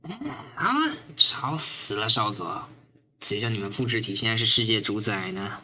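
A woman's voice: very deep voice, sing-song, moderate pace, bright, forceful tone, exaggerated voice. A woman playing a sardonic, weary, foul-mouthed smoker on a riot squad in an amateur radio drama, with a teasing, griping manner. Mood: griping, sarcastic.

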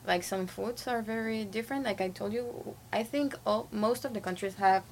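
A woman's voice: medium pitch, moderate pace, slight background noise. A young woman from Guatemala whose first language is Spanish, answering interview questions casually, with lots of fillers, restarts and laughs. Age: young adult. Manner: hesitant, many fillers and restarts, frequent laughs. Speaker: interview guest, international student.

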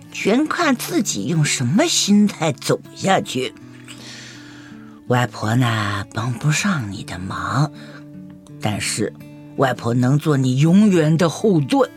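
Radio drama grandmother: tender old woman, unhurried delivery, widely swinging intonation. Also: very deep voice, heavily acted. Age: older. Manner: tender, deliberate, with drawn-out particles. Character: kindly old grandmother encouraging her grandson.

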